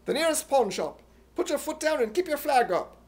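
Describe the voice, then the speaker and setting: A man voicing an excitable, gullible, indignant comic hero in a loud, exclamatory, put-on British accent, in 1950s radio comedy style. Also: extremely high pitch, moderate pace. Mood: brisk, bold. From United States.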